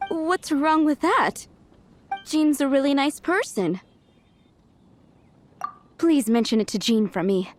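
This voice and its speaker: High-voiced, earnest young townswoman. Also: takes it slowly, long pauses, a little hiss or hum. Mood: coaxing. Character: worried, pleading young townswoman, breezy cat owner. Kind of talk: anime video game voice acting.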